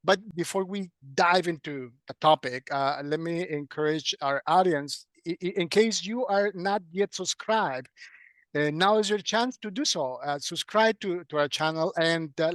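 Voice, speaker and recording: Higher-voiced male podcast host, halting delivery. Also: a bit bright, no room sound. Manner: halting, hesitant delivery with repeated words. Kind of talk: hosting an online interview.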